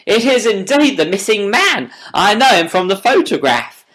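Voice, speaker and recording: A man's voice: sits high, big pitch swings, normal speed, fairly bright tone, husky, pitched above the performer's own voice, full character voice. A man voicing a hearty police inspector in an audiobook, emphatic and jovial, easily amazed. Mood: astonished. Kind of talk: audiobook character voice.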